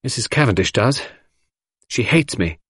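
A man voicing a wistful, teasing young orphaned woman in an audiobook reading, lifting his husky voice into a lilting girlish tone. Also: mid-range voice, lively intonation, moderate pace, fairly bright tone, dry recording, clean studio sound.

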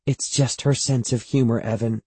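Man as a coaxing, firm mother, raised pitch. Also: animated, slow, mellow, slightly rough, no room sound, full character voice. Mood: hushed reassurance. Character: boy's mother, hushed and coaxing, sternly firm.

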